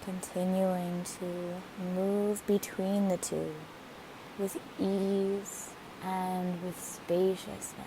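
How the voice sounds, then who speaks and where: Woman, meditation guide, slightly low pitch, gentle deliberate phrasing, unhurried slow delivery, faint background hiss. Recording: steady hiss behind the voice. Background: hiss, rushing waterfall water.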